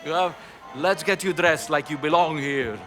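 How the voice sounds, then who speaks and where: A man playing a scholarly, cautious and friendly Italian priest in a cinematic game, measured and slightly hesitant, with an Italian accent. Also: on the high side, music in the background, natural voice.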